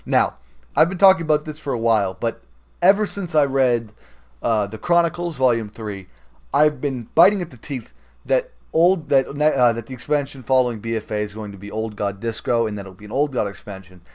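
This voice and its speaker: A man's voice: medium pitch, fairly quick, a little mellow. A man talking casually about gaming as a podcast host.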